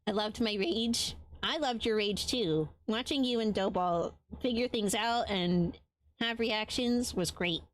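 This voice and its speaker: A woman's voice: medium pitch, a little slow, low-quality recording. A woman from Latin America who streams games, chatting casually and affectionately with her live viewers, with frequent ums and a voice tired after a long stream. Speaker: game streamer.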